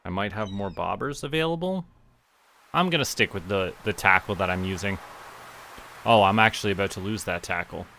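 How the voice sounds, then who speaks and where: A man's voice: on the low side, a little fast, vocal fry, bright, forceful tone, slight background noise. A man giving casual live game commentary, talking to himself and his viewers as a streamer. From Canada.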